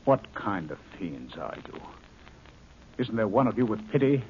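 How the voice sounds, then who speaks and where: An actor playing a scientist in danger who speaks urgently and pleads, in an old 1950s radio drama. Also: male voice, on the low side, sing-song, measured pace. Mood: pleading. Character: male scientist in peril, desperate and earnest. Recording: dull old radio broadcast with faint hiss.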